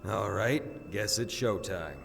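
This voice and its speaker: An actor playing a cocky, crude young warrior in a fantasy audio drama, talking in a flippant, boastful, casual way. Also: male voice, on the low side, takes it slowly, vocal fry, fairly bright tone, a little hiss or hum, heavily acted. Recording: long reverb, music underneath.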